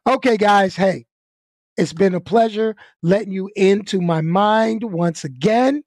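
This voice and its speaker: A man's voice: high-pitched, unhurried, dry recording, clean studio sound. A man from Brooklyn, hosting his own live stream, joking and chatting straight to his viewers. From Brooklyn, New York. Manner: joking, hyped, talks to viewers directly. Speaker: YouTube live stream host. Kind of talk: casual live stream outro chat.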